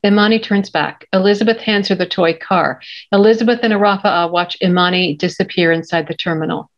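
Female narrator, lowish pitch, reading script directions, muffled lo-fi call. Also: a little fast. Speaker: stage directions reader. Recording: dull lo-fi video call.

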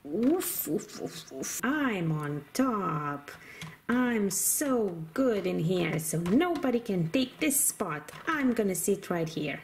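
A woman's voice: medium pitch, sing-song, takes it slowly, vocal fry, a little hiss or hum, heavily acted. A woman voicing a naughty, stubborn toy doggy who barks and talks defiantly in children's pretend play. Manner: defiant, barking woofs.

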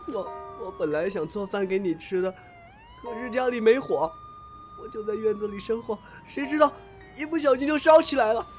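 A man's voice: high-pitched, a little fast, slight background noise, lightly acted. A man acting a naive, clingy, boyish young master in an amateur Chinese audio drama. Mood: tearful, apologetic.

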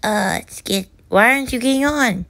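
A woman's voice: a little high, normal speed, a bit bright, dry recording, pitched above the performer's own voice. A woman voicing an earnest, hurt little cartoon boy who speaks in a pleading, hesitant way.

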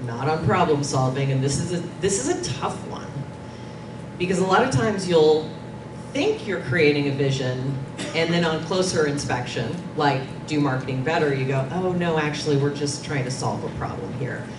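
A woman's voice: low-pitched, moderate pace. A middle-aged woman giving an informal, conversational presentation on a panel in a meeting room. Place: dim conference meeting room. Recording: distant, echoey, noisy room recording.

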